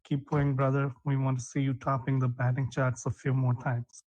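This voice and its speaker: A man's voice: mid-range voice, monotone, normal speed, darkish tone, poor audio. A man reading a recorded tribute message steadily, with some filler sounds. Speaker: teammate sending a message.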